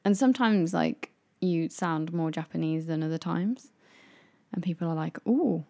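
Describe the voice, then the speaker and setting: A woman's voice: on the low side, normal speed, gravelly, warm tone. A young British woman in casual chat as a podcast co-host, chatty and playful, laughing often.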